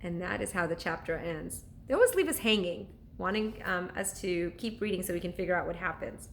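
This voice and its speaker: A woman reading a children's story aloud like a teacher to pupils, with expressive, deliberate narration. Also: fairly low pitch, creaky, a little hiss or hum.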